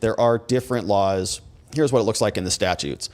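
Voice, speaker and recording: A man's voice: fairly low pitch, fairly quick. A man with an American accent hosting a casual live commentary stream, talking conversationally and informally as he reads and answers messages. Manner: informal, conversational, rhetorical questions. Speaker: host, legal commentator. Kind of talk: casual livestream commentary, reading viewer messages.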